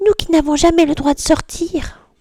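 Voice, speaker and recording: Tiny girl kitten voice, sweet and eager, woman doing it, high up. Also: little pitch movement, moderate pace, mellow, no room sound, clean studio sound.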